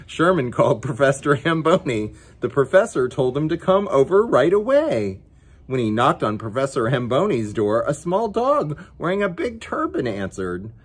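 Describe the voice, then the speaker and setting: Lively, husky-voiced man reading a storybook. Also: mid-range voice, unhurried. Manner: playful, chatty asides to a pet dog. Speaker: story time host. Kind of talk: children's picture-book read-aloud.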